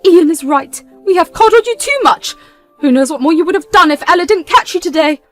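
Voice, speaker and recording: A woman's voice: lively intonation, a little fast, soft background music. Woman voicing an outraged middle-aged mother. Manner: shrill, loud outcry.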